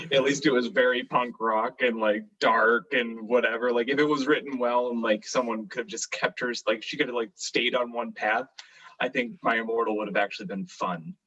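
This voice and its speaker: Bright, sardonic man on a dull lo-fi mic. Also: mid-range voice, fairly even intonation, moderate pace, distant mic. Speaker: podcast co-host. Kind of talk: casual comic banter. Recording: dull, lo-fi microphone sound.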